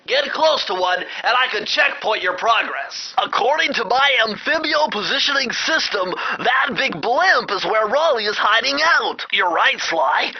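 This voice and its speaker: A brainy young cartoon turtle guides the player through a video game. His voice is extremely high and nasal, with a fussy, bookish precision. He is earnest and excitable, always cautious. The sound is somewhat muffled and lo-fi. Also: measured pace, few pauses, slightly rough, full character voice. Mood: matter-of-fact. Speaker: mission guide. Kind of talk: video game voice acting, instructions. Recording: dull, lo-fi game audio.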